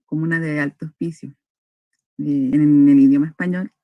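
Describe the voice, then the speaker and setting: Dry, measured young woman speaker. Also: sits low, fairly even intonation, dry recording, highs cut off. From northern Chile. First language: Aymara. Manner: measured, with frequent hesitations and restarts. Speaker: invited speaker at a cultural forum. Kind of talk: formal talk.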